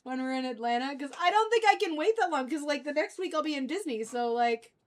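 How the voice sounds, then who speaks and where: A woman hosting a livestream in a casual, rambling fan chat, halting and often trailing off mid-thought. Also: high voice, lively intonation. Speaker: livestream host.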